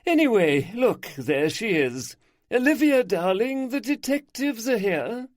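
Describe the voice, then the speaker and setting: A man's voice: unhurried, no room sound, clean studio sound. A man voicing a chatty, gossipy older woman, an aunt showing detectives around her gardens. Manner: lively, raised pitch, gossipy.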